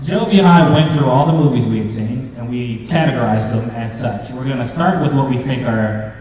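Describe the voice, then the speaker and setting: A man in his thirties presents a panel from far off in a big, very echoey hall. His pitch is average and his talk is loose and joking, with clear noise around him. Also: brisk, a little mellow. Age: 30s. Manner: joking, informal. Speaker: panel co-host, movie reviewer. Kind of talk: casual convention panel presentation. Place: convention panel room with audience, indoors.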